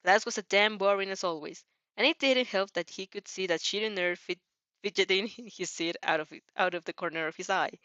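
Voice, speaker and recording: A woman's voice: medium pitch, brisk, fairly bright tone, dry recording. A woman narrating fan fiction dramatically, often stumbling and rephrasing her lines as she reads. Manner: repeats and rephrases phrases.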